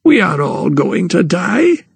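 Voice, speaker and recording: A man voicing a frail but shrewd old grandmother who speaks slowly and calmly. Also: sits high, gravelly, breathy edge, no room sound, pitched above the performer's own voice, exaggerated voice. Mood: calm knowing. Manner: slow, calm, knowing. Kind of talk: audiobook character voice.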